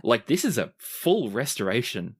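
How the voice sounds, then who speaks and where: A game master runs a tabletop roleplaying game in a mid-pitched voice. The narration is dramatic and descriptive, broken up by chatty, casual asides to the players. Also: very animated, normal speed, slightly rough.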